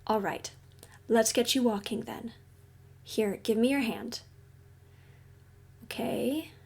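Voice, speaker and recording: A woman playing a caring, reassuring counselor at a secret base in an audio roleplay, speaking gently and explaining things soothingly. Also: fairly high pitch, slow pace, creaky, husky, heavily acted. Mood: calm, explanatory. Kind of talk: audio roleplay addressed to the listener.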